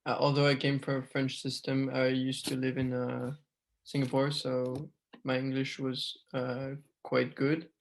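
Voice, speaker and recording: A man's voice: medium pitch, completely flat delivery, unhurried, vocal fry, slight background noise, low-quality recording. A young man, a student, giving hesitant advice on a video call with many fillers. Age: young adult. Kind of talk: casual advice on a webinar.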